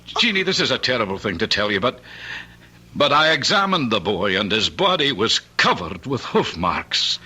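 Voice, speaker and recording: Casting: authoritative, worried physician in old radio play, animated delivery, husky and forceful. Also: male voice, lowish voice, normal speed, full character voice. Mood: grave, agitated. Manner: earnest, emphatic, authoritative. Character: grave, paternal country doctor, blunt and worried. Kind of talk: vintage radio drama acting.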